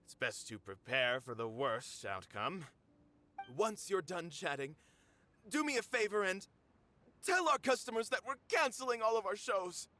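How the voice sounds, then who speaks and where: An actor playing a stern, principled middle-aged theater manager who speaks in a firm, authoritative, matter-of-fact way in a game cutscene. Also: male voice, high-pitched, expressive, measured pace, exaggerated voice. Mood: weary. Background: soft game music.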